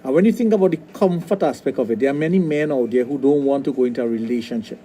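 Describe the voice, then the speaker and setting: A middle-aged man with a Trinidadian accent, a sexologist talking on a radio show, blunt and quick to restart his sentences. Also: mid-range voice, mellow, a little hiss or hum. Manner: repeats words, restarts often, blunt. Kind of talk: casual radio talk. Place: radio studio.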